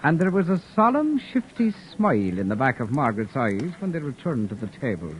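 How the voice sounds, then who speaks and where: A man's voice: mid-range voice, sing-song, slight background noise, close to an everyday voice. An actor playing Michael, a witty, lovestruck young man who also narrates, in 1940s-style radio drama acting with a lilt. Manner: wry, theatrical, quick comebacks. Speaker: narrator and lead character. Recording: muffled old radio recording with music.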